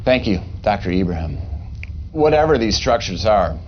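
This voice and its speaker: An actor playing a polished, serious news anchor with a measured, formal delivery in a game cutscene. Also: male voice, lowish voice, sing-song. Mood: grave, probing. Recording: dull lo-fi audio, faint noise.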